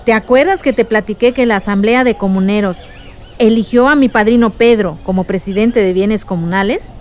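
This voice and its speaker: Una actriz de radionovela que interpreta a una tía resuelta que cuenta y explica sus vivencias a su sobrina. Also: female voice, mid-range voice, moderate pace, darkish tone, a little hiss or hum, close to an everyday voice. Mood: reflective, explanatory. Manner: storytelling, explanatory. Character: aunt recounting past dangers to her niece, warm, resolute. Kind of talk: radio drama acting.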